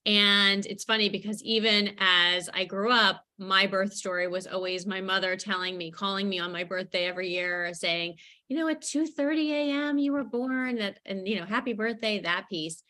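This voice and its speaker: Bright, forceful woman storyteller. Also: mid-range voice, normal speed. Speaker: guest speaker, author. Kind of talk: personal storytelling in an interview.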